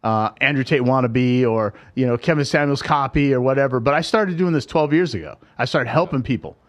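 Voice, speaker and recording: A man's voice: mid-range voice, animated, brisk. A middle-aged man, a guest on a podcast, chatting casually and telling stories, often checking in with "right?". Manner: storytelling, frequent "right?" asides. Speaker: podcast guest, tech recruiter and dating coach. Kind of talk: casual interview chat.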